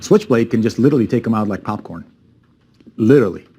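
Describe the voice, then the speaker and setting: Afghan-born man, low steady voice. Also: fast talker, slight background noise. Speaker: company chief executive. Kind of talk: interview answers.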